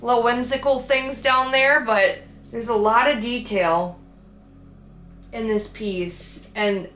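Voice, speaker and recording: A young woman with a thick Minnesotan accent talks in a loose, rambling way, full of fillers and restarts. Her voice is bright and a little high. The room is echoey, with a faint hiss behind her. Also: fairly even intonation, slow pace. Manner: chatty, rambling, upbeat, with frequent fillers and restarts. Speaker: artist vlogger. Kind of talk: casual vlog about her painting.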